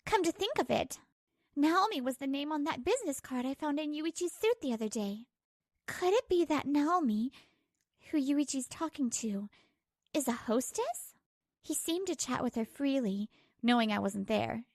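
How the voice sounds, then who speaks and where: A woman's voice: sits high, expressive, moderate pace, dry recording, clean studio sound. A woman playing a hurt, betrayed young wife who narrates her troubles emotively in a manga dub. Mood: suspicious. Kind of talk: dramatised first-person manga dub narration.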